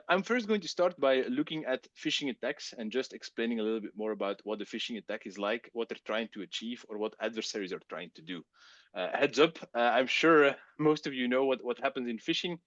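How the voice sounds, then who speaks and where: A Belgian man whose first language is Dutch, with a Flemish accent, presenting a technical webcast in a relaxed, chatty way with many fillers. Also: mid-range voice, fairly quick, dry recording, highs cut off.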